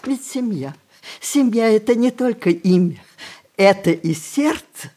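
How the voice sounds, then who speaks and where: A woman's voice: mid-range voice, expressive, dark tone. An older woman playing a mischievous, rebellious grandmother of great age in an audio play, with theatrical, emphatic delivery. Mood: indignant. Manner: theatrical, emphatic, with drawn-out words. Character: spirited, rebellious 106-year-old grandmother, mischievous and sharp-tongued. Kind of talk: stage-style audio play acting.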